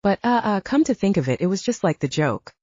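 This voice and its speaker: A woman plays a grandiose gentleman scientist for an audiobook, holding forth like an orator. The delivery is effusive and pompous, swooping in pitch with a mellow tone, in a dry, slightly dull recording. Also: very animated, a little fast, no echo. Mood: apologetic, chatty. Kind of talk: audiobook character voice.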